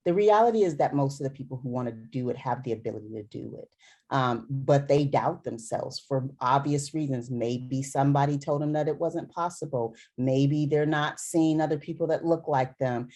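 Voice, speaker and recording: Low, mellow-voiced woman speaking earnestly. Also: moderate pace. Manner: earnest, emphatic, repeats for stress. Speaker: dermatologist speaker. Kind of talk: conversational Q&A talk.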